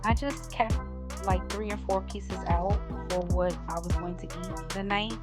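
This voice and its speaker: A woman's voice: medium pitch, fairly even intonation, measured pace. A woman in her forties chatting casually and upbeat to her viewers in a fitness vlog. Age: 40s. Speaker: fitness vlogger. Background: loud music.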